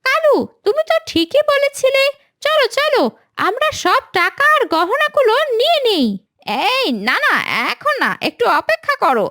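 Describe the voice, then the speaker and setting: Scheming cartoon crow: extremely high, wildly animated, greedy and gossipy. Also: female voice, moderate pace, no echo, studio quality, full character voice. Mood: greedy, excited.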